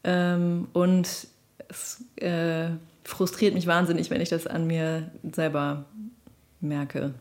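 A woman's voice: sits low, little pitch movement, slow pace, darkish tone. A woman speaking casually and spontaneously, as if reflecting on her work in an interview.

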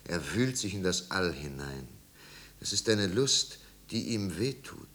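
A man narrating a 1950s German radio drama as a solemn storyteller, with grave, measured and deliberate phrasing. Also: deep voice, big pitch swings, fairly bright tone, heavily acted. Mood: hushed, solemn.

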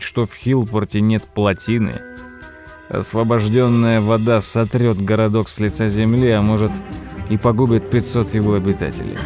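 An actor playing a thoughtful nephew who narrates an audio drama in an expressive, measured way. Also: male voice, on the low side, close to an everyday voice. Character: thoughtful nephew recounting his uncle's strange tale. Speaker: narrator and character. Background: music.

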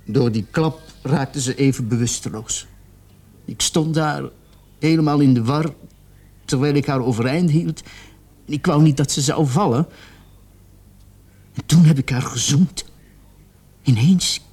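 An actor playing a distraught young man in a radio play, confessing haltingly and repeating his words in agitation. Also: on the high side, darkish tone, husky. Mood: anguished, desperate.

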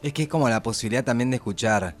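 A man's voice: medium pitch, racing. A man with a Rioplatense Argentine accent, talking in an informal, colloquial way as a musician being interviewed. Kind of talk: casual interview chat.

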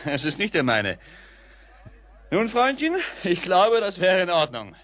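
An actor playing a boastful, exuberant young bridegroom in a German radio play, with a loud, cocky, mocking delivery. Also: male voice, high voice, big pitch swings, unhurried, full character voice. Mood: triumphant, boastful.

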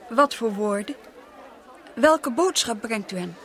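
A woman's voice: fairly high pitch, animated, slow, some chatter behind. An actress playing a proud, unhappy Dutch colonial lady in a radio drama, with formal, emotional delivery. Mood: haughty.

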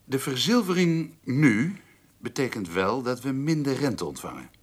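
A man's voice: mid-range voice, big pitch swings, measured pace. An actor playing a shifty, evasive male notary in a radio play, hesitant and insinuating as he guards his reputation. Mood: guarded, evasive. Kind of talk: radio drama dialogue.

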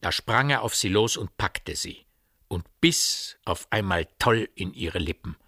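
A man's voice: low voice, animated, unhurried, pushed and bright, breathy edge, no room sound, clean studio sound, full character voice. A man narrating a literary radio play in a precise, formal way. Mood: intense.

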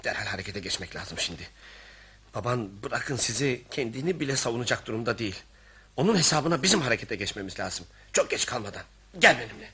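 Bright, earnest man telling a tale. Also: medium pitch, lively intonation, fast talker, slightly rough, full character voice. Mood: resolute. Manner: theatrical, earnest storytelling. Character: earnest, exasperated family friend narrating absurd events. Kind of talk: radio theatre narration and dialogue.

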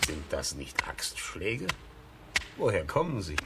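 An actor playing a serene, courteous blind old wanderer with a raspy voice and solemn manner in a children's radio play. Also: male voice, low-pitched, huge pitch swings, takes it slowly, very noisy, exaggerated voice.

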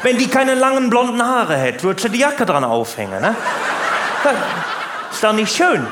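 A man's voice: high-pitched, very animated, normal speed. A man performing stand-up as a chatty, naive, grumbling working-class family man, rambling and exaggerating in a folksy way. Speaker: stand-up comedian. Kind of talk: stand-up comedy monologue to live audience. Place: stage in a live comedy venue. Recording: roomy live hall, faint background noise.

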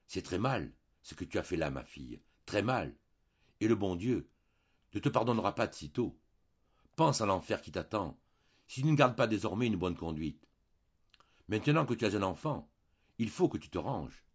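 Man voicing a gossipy old priest, husky, lo-fi. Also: on the low side, big pitch swings, normal speed, long pauses. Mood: preachy. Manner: moralizing then sly and jovial. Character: blunt chatty old male country priest.

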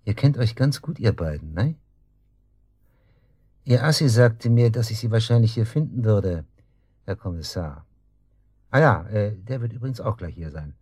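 A man's voice: on the low side, animated, normal speed, long pauses, a little mellow, breathy edge, no echo, studio quality. An actor in a German radio drama playing a smug, taunting man just released from custody, speaking with mocking casualness. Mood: menacing, sly.

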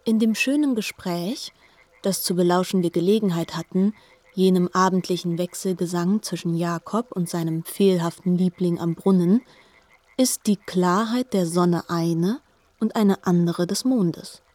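A woman playing a learned, reflective narrator in a literary radio drama, measured and articulate. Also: fairly low pitch, a little mellow, no echo. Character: learned, reflective female narrator of a biblical epic.

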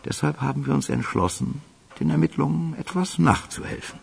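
A man's voice: a little high, animated, fairly quick, gravelly, slightly rough, exaggerated voice. An actor playing a deferential official reporting formally and methodically to his boss in a German radio crime drama, in a reverberant hall. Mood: formal, businesslike.

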